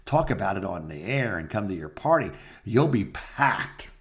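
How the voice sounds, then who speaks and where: A man's voice: fairly low pitch, big pitch swings, normal speed. A middle-aged man chatting casually as a co-host, reminiscing in a rambling storytelling way. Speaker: podcast co-host, former radio host.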